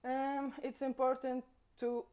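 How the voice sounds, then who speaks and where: A woman's voice: sits high, flat delivery, takes it slowly, mellow, clear, resonant tone. A woman in her twenties from Tunisia, a volunteer who talks earnestly about what connects people. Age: 20s.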